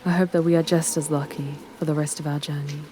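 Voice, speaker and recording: A woman playing a charming, haughty demon guide through hell in a scripted ASMR roleplay, speaking in formal, measured, archaic phrases. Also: sits low, little pitch movement, dark tone, slight background noise, natural voice. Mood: wry, composed.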